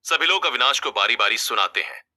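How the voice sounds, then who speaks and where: A man's voice: medium pitch, expressive, brisk, bright, forceful tone. A man reading scripted narration for a family drama story as its narrator.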